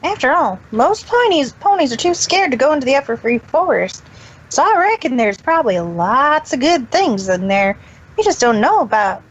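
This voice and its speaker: Woman voicing an eager, high-pitched country filly. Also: big pitch swings, moderate pace, breathy edge, dull audio, exaggerated voice. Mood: earnest. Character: eager young country filly pony, cheerful. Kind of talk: fan fiction character reading.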